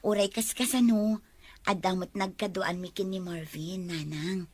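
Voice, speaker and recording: A Filipino woman playing a soft-hearted, lovestruck young woman in an audio drama, speaking in an earnest, pleading way. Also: mid-range voice, steady tone, a little slow, dry recording, close to an everyday voice. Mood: defensive, pleading. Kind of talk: emotive audio drama acting.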